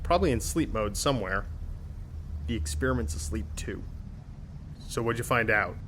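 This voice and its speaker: A man's voice: on the low side, lively intonation, moderate pace, a bit bright, a little hiss or hum. A man playing an easygoing spaceship crewmate, speaking in casual, terse lines in a science fiction audio drama. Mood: unbothered. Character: laid-back, easygoing male spaceship crewmate.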